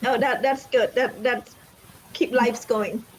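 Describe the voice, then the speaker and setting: Host, woman, somewhat high pitch, chatty, informal. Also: slow pace, a little hiss or hum, low-quality recording. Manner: friendly, loose phrasing with fillers. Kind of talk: casual interview hosting.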